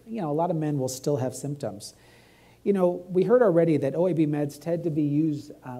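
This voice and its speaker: A man's voice: fairly high pitch, dark tone, husky. A middle-aged man giving a urology lecture at grand rounds, talking conversationally and checking in with "right?". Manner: conversational, asks "right?" often. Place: dim lecture hall with a stage and podium.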